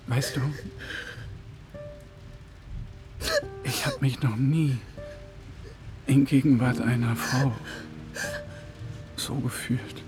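An actor playing a tender secret lover, speaking softly and earnestly in a literary radio drama. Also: male voice, medium pitch, fairly even intonation, slow pace, lightly acted, rough recording. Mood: tender, hesitant. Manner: soft, earnest, intimate. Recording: loud music behind the voice.

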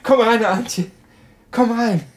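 An actor playing an agitated male scientist in a radio drama, halting and intense, repeating himself. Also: very high-pitched. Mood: hysterical relief. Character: Peter, agitated male scientist shaken by a death threat. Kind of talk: German radio drama acting.

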